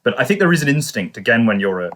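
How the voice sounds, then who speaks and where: A man co-hosting a podcast in casual review talk, tentative and measured, carefully qualifying each point he makes. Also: mid-range voice, very animated, fairly bright tone. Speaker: podcast co-host.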